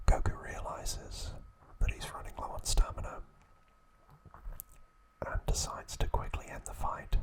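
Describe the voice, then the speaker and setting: A man narrating trivia as an ASMR host in a breathy, hushed whisper, in short measured phrases. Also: low-quality recording.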